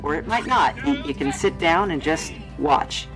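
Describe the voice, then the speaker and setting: Female vlog host, low register, playful rambling voice-over, light music bed. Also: expressive, moderate pace. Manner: playful, wry, rambling asides. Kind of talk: casual, chatty travelogue voice-over. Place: studio voice-over.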